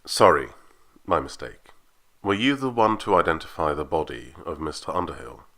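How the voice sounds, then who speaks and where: A man playing a formal coroner who questions witnesses in a measured voice, in an audio drama. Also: extremely low pitch, big pitch swings, bright, forceful tone, heavily acted. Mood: formal, probing. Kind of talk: scripted audio drama acting.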